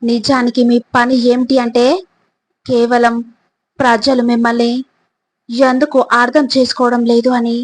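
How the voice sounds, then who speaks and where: Slow, even-toned woman narrating motivational quotes. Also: fairly high pitch, poor audio. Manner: deliberate, pausing between short phrases, addressing the listener directly.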